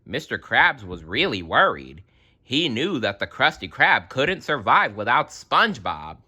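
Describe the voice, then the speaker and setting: A man's voice: mid-range voice, very animated, unhurried, pushed and bright, husky. A man reading a children's story aloud expressively, adding wry asides as storyteller.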